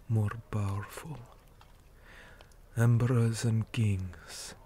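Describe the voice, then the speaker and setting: Man playing a low, slow, weary orc commander. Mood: somber, resolute. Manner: slow, deliberate, hushed, often dropping to a whisper. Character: weary male orc commander, stern, measured, dutiful soldier. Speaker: interrogator in a roleplay. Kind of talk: ASMR roleplay acting.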